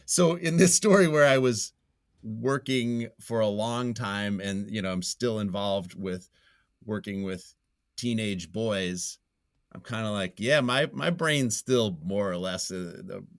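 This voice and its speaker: Low-pitched man, self-deprecating interview guest. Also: slow pace, dry recording, studio quality. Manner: self-deprecating, goofy, chuckles often while talking. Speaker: interview guest, writer and journalist. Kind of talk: casual conversational interview.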